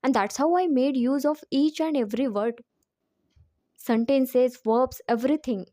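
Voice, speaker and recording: High-voiced woman, non-native English speaker. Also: unhurried, warm tone, no echo, studio quality. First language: not English. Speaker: English teacher giving advice. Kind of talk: informal spoken lesson drawing on personal experience.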